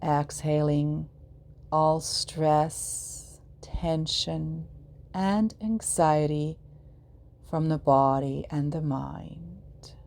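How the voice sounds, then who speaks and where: A woman leading a guided yoga nidra meditation in a soothing, measured way, leaving long pauses between short phrases. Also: sits low, steady tone, gravelly, darkish tone.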